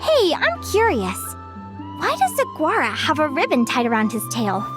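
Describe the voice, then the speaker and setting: A young fae explorer from a video game. Her voice sits high and is expressive and full of curiosity, with an adventurous streak. Faint music plays behind her. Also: normal speed. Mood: friendly, curious. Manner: eager, expressive, wistful when reflective. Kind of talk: video game character voice acting.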